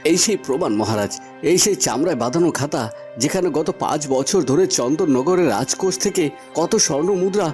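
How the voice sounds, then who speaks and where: A man's voice: on the high side, expressive, brisk, a little mellow, soft background music, natural voice. A man voicing a brave young village lad at a royal court, speaking solemnly and formally in a fairy-tale cartoon. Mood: accusing, firm.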